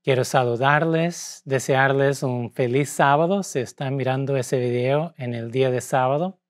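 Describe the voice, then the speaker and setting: A man from Portugal preaching a sermon in Spanish with a Portuguese accent, his second language, speaking deliberately and pausing between phrases. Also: mid-range voice. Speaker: pastor.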